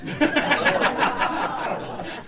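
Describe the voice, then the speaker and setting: A woman's voice: extremely slow, a loud crowd, heavy background noise. A woman hosting informally for a group, calling out items in a casual, self-deprecating way. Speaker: quiz host running music clips. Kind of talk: informal live announcing to a group. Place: restaurant luncheon.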